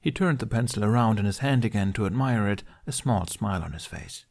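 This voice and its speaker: A man's voice: fairly low pitch, animated, fairly quick, vocal fry, darkish tone. A man reading a story aloud as scripted narration. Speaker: podfic reader.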